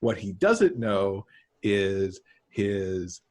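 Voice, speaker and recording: A middle-aged man with a husky, slightly low voice. He talks informally as a guest author, with a wry touch, over a video call. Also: big pitch swings, extremely slow. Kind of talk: casual chat. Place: indoor room.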